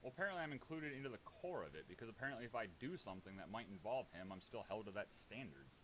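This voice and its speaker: A man's voice: on the low side, lively intonation, very fast, a bit bright, poor audio. Defensive man arguing over hissy audio. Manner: defensive, combative, profane. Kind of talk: heated informal argument. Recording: hissy background noise.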